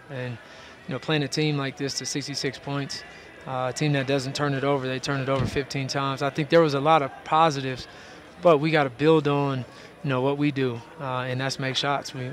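A man in his forties, a head basketball coach, answering post-game questions courtside in an arena through a headset mic, in a steady way with frequent repeated words. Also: medium pitch, brisk, slight background noise. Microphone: headset boom mic.

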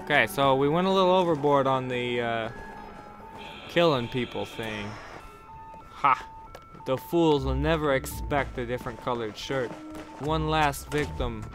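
A man's voice: medium pitch, measured pace, long pauses. Joking, swearing man over game music. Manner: joking, swears often, slips into silly put-on voices. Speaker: gameplay commentator. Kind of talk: casual gameplay commentary.

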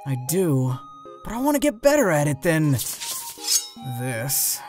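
A performer voicing a self-conscious, frustrated teenage goat monster boy in a comic dub, expressive and self-deprecating. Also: mid-range voice, measured pace, soft background music. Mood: hesitant.

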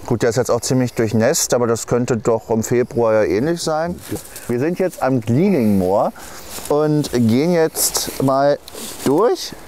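A man's voice: medium pitch, expressive, measured pace, warm tone. A man presenting a vlog on location outdoors, speaking casually to viewers and companions. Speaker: video presenter. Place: outdoors in woodland and bog. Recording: outdoor field recording with background noise.

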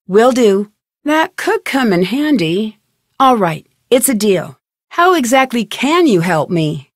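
Young female sleuth, adventure game dialogue, inquisitive, determined, fairly high voice, dry studio sound. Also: sing-song, slow pace, no echo, full character voice. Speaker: voice actress in a detective adventure game. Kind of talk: scripted video game dialogue.

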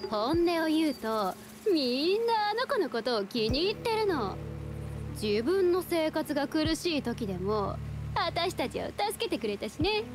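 High-pitched, guarded bandit woman. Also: very animated, a little slow. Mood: softening, casual. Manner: curt, casual, guarded. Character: brusque, guarded female bandit exile who softens. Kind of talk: Japanese anime game voice acting. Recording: music behind the voice.

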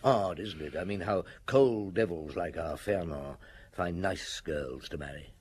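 An actor playing a shrewd, unhurried, wry middle-aged chief inspector with a husky voice in a radio drama. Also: male voice, sits low, a little mellow, a little hiss or hum. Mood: wry, probing.

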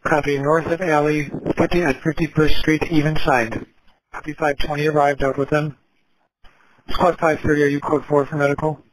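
A man working as a police dispatcher, repeating back each radio call in a clipped, steady way. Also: on the high side, moderate pace. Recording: noisy police radio audio.

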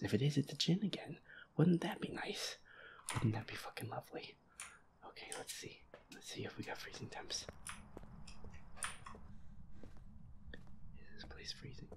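A man playing a feisty boyfriend in a roleplay audio, muttering whiny, sweary complaints over a scary video game in a breathy half-whisper. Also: lowish voice. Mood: wary, scolding. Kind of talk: roleplay audio, improvised gaming chatter.

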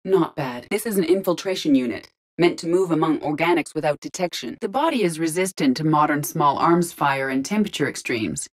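An actress voicing a female artificial intelligence in a video game, speaking in a measured, formal, precise and detached way. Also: deep voice, lively intonation. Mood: calm, analytical. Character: calm, analytical female artificial intelligence in an android body.

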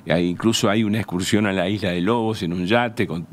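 An older man from Uruguay with a low-pitched voice and a Uruguayan accent. He speaks as an interview guest in a radio studio, close to the mic. His explanations are measured and conversational, with searching pauses. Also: fairly even intonation. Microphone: close studio microphone on a stand.

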